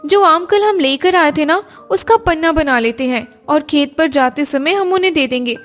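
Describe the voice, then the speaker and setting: A woman voicing a sensible, kind elder sister-in-law in a family cartoon, gentle and reassuring. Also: high voice, moderate pace, faint music behind.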